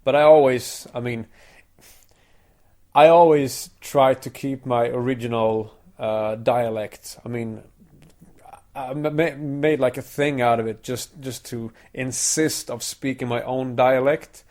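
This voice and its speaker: A man's voice: mid-range voice, fairly even intonation, takes it slowly, darkish tone. A man from Sweden whose first language is Swedish, hosting a podcast in casual chat and often repeating words as he gets going. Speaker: podcast host.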